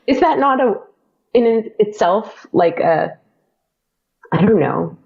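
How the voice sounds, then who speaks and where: A woman's voice: mid-range voice, animated, slow, vocal fry, darkish tone, highs cut off. A woman chatting casually as an interview guest. She talks freely with lots of fillers and laughs often. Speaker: podcast guest.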